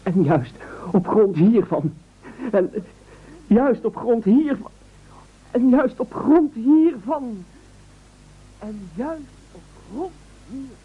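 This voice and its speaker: A man's voice: very high-pitched, expressive, slow pace, long pauses, breathy edge, exaggerated voice. An actor playing a meek, eager man who nobly offers himself up as a sacrifice, stammering and speechifying self-importantly in an absurdist radio play. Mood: pompous, oratorical. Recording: muffled old radio broadcast recording.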